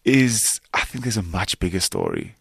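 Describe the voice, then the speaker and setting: A man's voice: low voice, huge pitch swings, moderate pace, vocal fry, a bit bright, breathy edge, dry recording, clean studio sound. A man from South Africa, a native Afrikaans speaker, speaking English as a film's guest on a radio interview, talking earnestly and often restarting his sentences. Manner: earnest, rambling, with many restarts. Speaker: filmmaker and actor guest.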